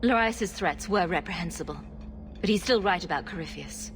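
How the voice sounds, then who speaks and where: An actress playing a principled, protective, level-headed young heroine in a video game, direct and articulate. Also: female voice, fairly low pitch, expressive, moderate pace, background noise. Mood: reasoned. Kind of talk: dramatic video game acting.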